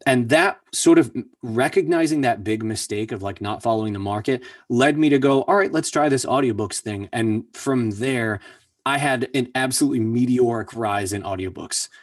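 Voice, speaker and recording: A man's voice: medium pitch, moderate pace, no room sound. A man who works as a voice actor, talking in a casual interview and telling his story earnestly, repeating words for emphasis. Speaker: voice actor guest. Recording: distant mic, dry sound.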